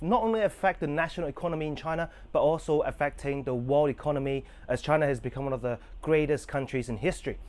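Earnest man in his thirties on a video call. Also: a little high. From Hong Kong. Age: 30s. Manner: earnest, hesitant with many ums and restarts. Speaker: entrepreneur, interview guest. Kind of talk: remote video interview answers. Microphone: video call, head-and-shoulders webcam framing.

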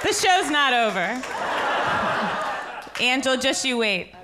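A woman's voice: sits high, very animated, slow. Woman, live podcast host, swooping intonation, playful teasing, casual chat, audible audience and background noise. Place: theatre stage before an audience. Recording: live stage with clear crowd noise.

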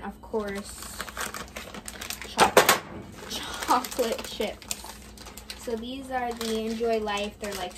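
A woman's voice: a little high, heavy background noise. Chatty young woman baking in a kitchen. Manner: chatty, offhand, thinking aloud while baking. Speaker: baking vlogger. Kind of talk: casual bake-along narration. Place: home kitchen, indoors. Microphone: camera on the counter, speaker mostly off-frame.

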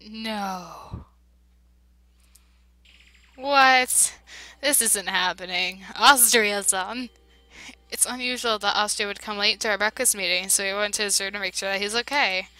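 A woman playing a game, reading its dialogue aloud and reacting with big emotional swings between loud outbursts and hushed narration. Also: medium pitch, unhurried, long pauses, no room sound. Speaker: let's play voice-over player.

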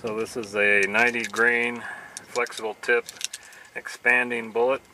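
A man calmly narrating shooting tests at an outdoor range, in a matter-of-fact, plain delivery. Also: mid-range voice, unhurried. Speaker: channel host. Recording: noisy outdoor recording.

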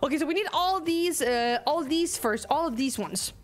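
Danish girl streaming a game, high voice, ranty and animated, little music behind. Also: moderate pace. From Denmark. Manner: animated, ranting, reads game lines aloud. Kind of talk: let's play commentary.

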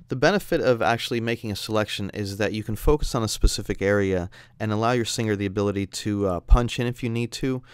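A man narrates a software tutorial in a smooth, clear voice. He explains things step by step in a conversational way, and the sound is dry and close. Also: fairly low pitch, no echo. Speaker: audio software instructor. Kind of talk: instructional screencast narration.

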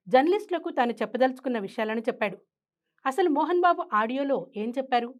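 Lady reading news commentary, pretty high voice, scripted delivery. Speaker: news presenter.

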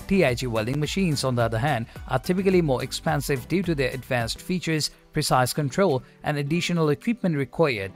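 A man narrating a scripted explainer video in an even, polished delivery without fillers. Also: a little high. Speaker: channel narrator.

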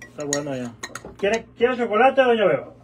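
A man's voice: high-pitched, big pitch swings, moderate pace. A man hosting a casual livestream, chatting in short coaxing questions and instructions. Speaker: livestream host. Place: small house kitchen and living room, indoors. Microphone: handheld phone. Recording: noisy handheld phone in a kitchen.

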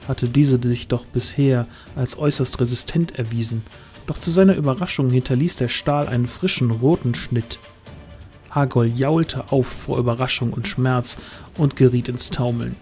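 Male storyteller for fantasy audio play, warm mellow tone, scripted, light music bed. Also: mid-range voice. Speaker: narrator.

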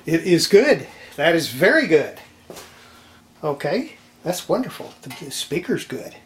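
Older male hobbyist, slightly high voice, folksy unscripted demo delivery, roomy sound with some clatter. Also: big pitch swings, measured pace. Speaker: hobbyist restorer presenting his repair video. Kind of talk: casual unscripted workbench demonstration. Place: indoor home electronics workshop. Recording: roomy echo, clattering tool and handling noise.

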